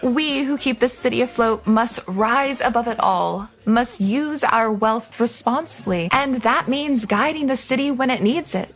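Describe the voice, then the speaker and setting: Haughty society heiress. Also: female voice, fairly high pitch, unhurried, hardly any pauses, faint music behind. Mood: smug, grandiose. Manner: snobbish, condescending, pompous. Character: haughty, spoiled, ruthless rich socialite crime heiress. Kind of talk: pulp radio drama acting.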